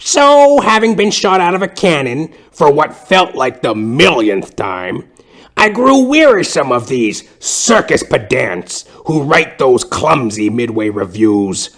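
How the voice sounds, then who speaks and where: A man voicing a theatrical old woman, a retired circus clown turned drama teacher, with a grandiose, drawn-out, cajoling delivery in a comic audio play. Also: mid-range voice, very animated, fairly bright tone, voice pushed up, full character voice. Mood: boastful, rambling.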